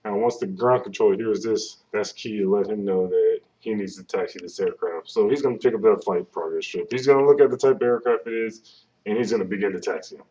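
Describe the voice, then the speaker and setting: A man with a deep, relaxed voice gives an informative talk in plain, conversational language. He explains things step by step. The webcam microphone sounds some distance away from him. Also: fairly quick, dull audio. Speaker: air traffic control presenter.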